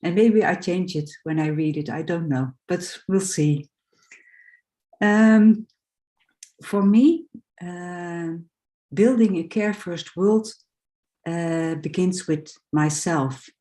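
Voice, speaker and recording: A woman's voice: lowish voice, takes it slowly, pauses often, warm tone. A woman from the Netherlands with a Dutch accent, speaking English as a second language and sharing personal thoughts hesitantly, with many fillers. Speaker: guest speaker.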